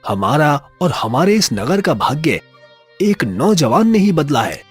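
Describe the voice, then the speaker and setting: A man voicing a stately, benevolent king in a cartoon moral story, measured, dignified and reassuring, with a husky voice. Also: fairly high pitch, big pitch swings, faint music behind, exaggerated voice. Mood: calm, gracious.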